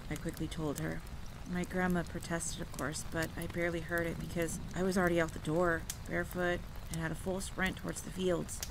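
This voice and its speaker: A woman's voice: lowish voice, flat delivery, fairly quick. A woman performing a scripted first-person campfire tale in a dramatic, suspenseful storytelling manner. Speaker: audio roleplay performer and storyteller. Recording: clear background noise of rain and thunder. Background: rain, rolling thunder and outdoor ambience.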